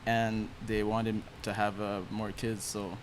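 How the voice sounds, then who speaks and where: A young man from Haiti tells his story in a low, unhurried voice, full of casual slang. He sounds like a relaxed podcast guest. A faint hiss sits under the recording. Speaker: guest musician. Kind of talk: casual interview chat.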